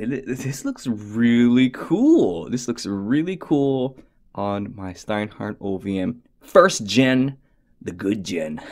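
A man doing a goofy, excitable watch strap review at his desk, talking to himself and dropping into whispers. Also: fairly low pitch. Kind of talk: casual unboxing review. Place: indoors at a desk.

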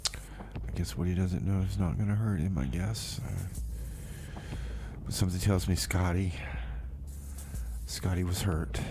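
A man presents music on the radio at an unhurried pace. His delivery is folksy and self-deprecating, with hesitations and repeated phrases. There is some noise behind his voice. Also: low-pitched, steady tone, warm tone. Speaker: radio DJ and show host. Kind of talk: rambling music radio presenting between songs.

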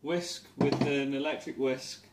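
Brief: young Midlands bloke hosting a home cooking vlog, playful, noisy kitchen recording. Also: male voice, mid-range voice, fairly even intonation, a little slow. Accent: English Midlands. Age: young adult. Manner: playful, bursts into laughter. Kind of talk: casual jokey cooking chat. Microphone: camera mic on the counter.

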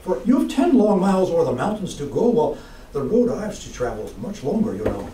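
A man voicing a sly, dying old Irish peasant man who pleads high and loud, heard from a distant mic in a roomy space. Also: sing-song, a little fast, slight background noise. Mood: pleading. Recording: roomy room, distant mic, faint ambience.

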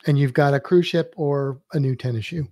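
Guy drawing live, fairly high but mellow voice, dry close mic, kinda rambly. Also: brisk, no echo. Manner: loose, rambling, thinks aloud while sketching. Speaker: drawing instructor on a live stream. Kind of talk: casual live-stream drawing tutorial.

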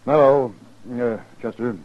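A male actor plays a suspicious, formal army officer in a fifties radio drama. His voice is mid-pitched and his manner brusque. It sounds like a dull vintage broadcast. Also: fairly even intonation, unhurried, lightly acted. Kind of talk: 1950s radio western acting. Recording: muffled vintage radio recording.

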